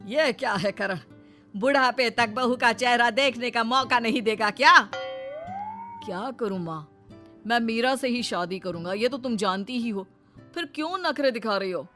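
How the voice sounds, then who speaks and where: A woman's voice: a little high, lively intonation, normal speed, bright, forceful tone, faint music behind. A woman voicing a stubborn, impatient grown son in a dramatized comic story, petulant and insistent with his mother.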